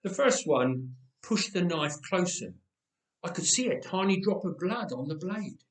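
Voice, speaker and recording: Male story reader, expressive first-person narration, higher-pitched, roomy echo. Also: measured pace, recorded from a distance. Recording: roomy, echoing space.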